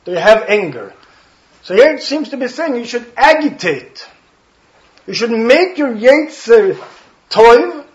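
Swedish-accented rabbi with a high voice. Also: male voice, lo-fi sound. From Sweden. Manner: earnest, explains Talmud phrases one by one, with dry jokes and frequent throat-clearing. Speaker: rabbi, guest speaker. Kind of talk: Torah talk to a study hall.

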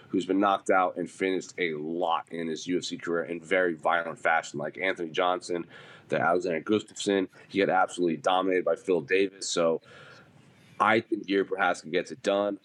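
A man's voice: deep voice, fairly bright tone. A man giving his opinion as a panelist in a casual sports discussion. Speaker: panelist on an MMA preview show.

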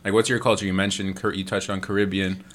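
Laid-back low-voiced host, slangy. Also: male voice, little pitch movement, fast, fairly bright tone. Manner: laid-back, slangy, calls guest bro. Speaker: interview host. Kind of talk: casual interview chat.

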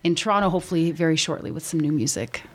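A young woman in her twenties whose first language is Albanian, a musician guest on a radio show, talking quickly with frequent ums and restarts. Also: low-pitched. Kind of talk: casual radio interview. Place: radio studio.

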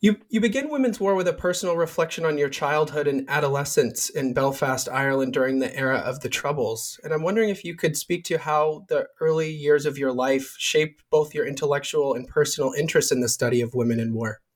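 A man's voice: a little high, a little fast, hardly any pauses. A man hosting a book podcast, reading a formal introduction and asking interview questions. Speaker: podcast host.

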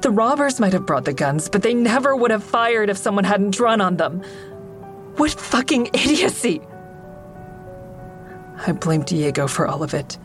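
A woman's voice: mid-range voice, very animated, moderate pace, long pauses, faint music behind, natural voice. An actress playing an embittered, grieving mother who narrates her own story in an audio drama with cold, guarded intensity. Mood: angry, bitter. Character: embittered woman narrating her grief and rage, a bereaved mother.

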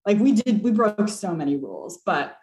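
A young woman telling a ghost story on a podcast call, animated and laughing, with lots of fillers. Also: medium pitch, moderate pace. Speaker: caller. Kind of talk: casual storytelling. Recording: echoey room.

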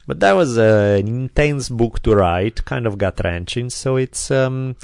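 A man's voice: medium pitch, lively intonation, unhurried, gravelly, darkish tone, no echo, clean studio sound. A man with an Italian accent hosting a podcast, talking in a loose, chatty way with many fillers. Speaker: podcast host. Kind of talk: casual podcast chat. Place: quiet recording studio.

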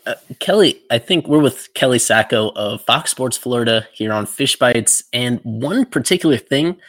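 A man hosting a podcast, asking long interview questions in a halting way with frequent ums. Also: mid-range voice, lively intonation, fairly bright tone, dry recording. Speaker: podcast host.

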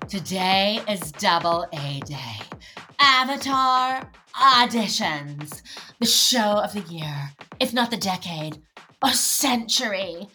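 Slow, catty theatre gossip. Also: male voice, sits high, animated, pushed and bright, faint music behind, exaggerated voice. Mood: smug, gossipy. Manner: catty, pompous. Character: gossipy, catty, self-important male theatre insider. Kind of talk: scripted radio play monologue.

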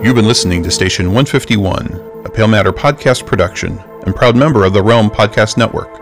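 A man's voice: on the low side, brisk, loud music behind the voice. A man reading a scripted podcast outro as announcer.

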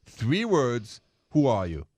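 A man's voice: medium pitch, huge pitch swings, takes it slowly, darkish tone, no room sound, studio quality. Charming man with a French accent. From Paris, France. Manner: charming, romantic, laughs easily. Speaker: radio co-host. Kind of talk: radio call-in show hosting. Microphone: studio microphone.

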